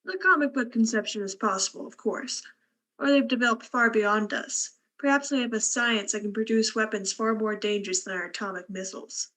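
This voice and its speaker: Musing scientist, slightly high, played by a woman. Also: steady tone, fairly quick, fairly bright tone, natural voice. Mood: musing, unruffled. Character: thoughtful, unruffled scientist doctor aboard a spaceship. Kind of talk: radio drama acting.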